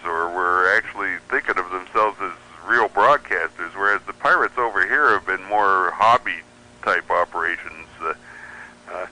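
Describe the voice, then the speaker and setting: Bright, forceful American man. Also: low voice, rough recording. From United States. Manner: explanatory, opinionated. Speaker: radio show guest, pirate radio enthusiast. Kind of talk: conversational radio interview commentary.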